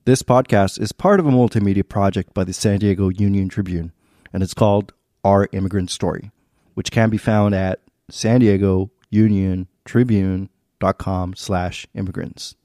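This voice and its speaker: A man hosting a podcast, reading scripted narration in a measured, earnest way. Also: deep voice, fairly even intonation, dark tone, no room sound, studio quality. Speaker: podcast host.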